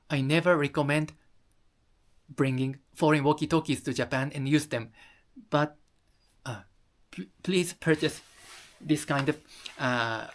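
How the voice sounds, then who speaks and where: A presenter explaining things to viewers in halting, careful English with non-native phrasing. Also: mid-range voice. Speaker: video presenter.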